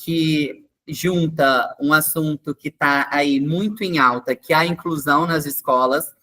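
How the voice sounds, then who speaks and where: A man's voice: fairly high pitch, fairly even intonation, unhurried, pushed and bright. Chatty man hosting a webinar. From Minas Gerais, Brazil. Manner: chatty, many fillers like "né" and "tá". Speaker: webinar host, experience coordinator. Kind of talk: online webinar presentation. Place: online video call.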